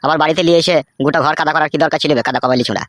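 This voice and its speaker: A man acts out a foul-mouthed village drinking buddy from a comedy cartoon. His voice sits a little high and races along in a teasing, jeering way. The sound is dry and close. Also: racing, fairly bright tone, no room sound, close to an everyday voice. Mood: mocking.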